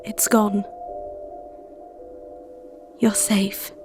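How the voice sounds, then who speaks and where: A gentle, protective female therapist in an ASMR roleplay. She speaks slowly at a medium pitch, earnest and deliberate, with some music underneath. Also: little pitch movement. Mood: tender, reassuring. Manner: soothing, earnest, deliberate with pauses. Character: gentle, protective female visualization therapist guarding imagined minds. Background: background music.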